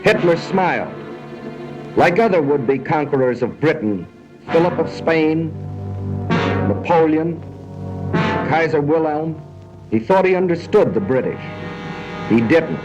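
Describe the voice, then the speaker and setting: A man's voice: on the high side, expressive, unhurried, pauses often. Dramatic 1940s American newsreel-style man, muffled soundtrack. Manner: dramatic, emphatic, solemn. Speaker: narrator. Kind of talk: 1940s wartime documentary narration, scripted. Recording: muffled 1940s film soundtrack, music behind.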